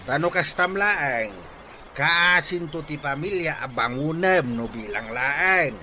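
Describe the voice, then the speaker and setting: A slow, forceful scolding Ilocano father. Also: a little high, expressive, slight background noise, exaggerated voice. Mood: stern, pleading. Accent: Ilocano Filipino. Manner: preachy, emphatic. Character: stern older father admonishing his wayward son. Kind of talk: Ilocano radio drama acting.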